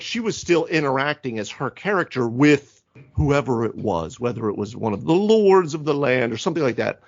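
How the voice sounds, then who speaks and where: A man co-hosting a gaming podcast, talking casually and thinking aloud in an animated, conversational way. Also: mid-range voice, lo-fi sound. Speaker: podcast co-host. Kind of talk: casual round-table chat about tabletop gaming.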